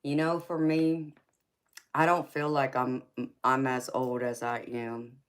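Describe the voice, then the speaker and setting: A woman's voice: extremely low pitch, level tone, slow pace, clear, resonant tone. A woman, chatting casually with live-stream viewers, laughing often and calling them honey. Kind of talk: casual live-stream chat reading comments.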